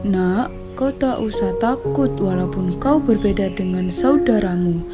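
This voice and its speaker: A woman voicing a gentle, pitying mother hen in a children's story, speaking in a slow, soothing, deliberate way. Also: mid-range voice, loud music behind the voice, close to an everyday voice. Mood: tender, reassuring.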